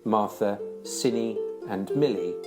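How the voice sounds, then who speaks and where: A man's voice: fairly low pitch, takes it slowly. A man reading scripted closing credits as an announcer. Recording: loud plucked guitar music behind. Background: guitar music.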